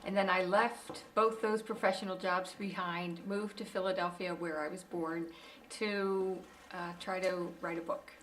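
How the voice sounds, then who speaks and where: A woman giving an articulate, steady account in an interview. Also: on the low side, a little slow, a little hiss or hum, poor audio. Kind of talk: casual interview answer.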